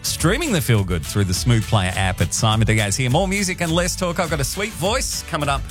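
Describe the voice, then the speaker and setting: A man's voice: medium pitch, big pitch swings, a little fast. A man presenting a music radio show, linking between songs.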